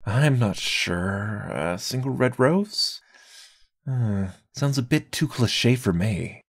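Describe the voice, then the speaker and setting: A man's voice: medium pitch, expressive, slow, slightly rough, dry recording, studio quality, heavily acted. An actor voicing a reserved, slightly fussy young male customer in an anime-style visual novel, speaking with consideration. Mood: thoughtful, hesitant. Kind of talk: scripted anime-style game dialogue.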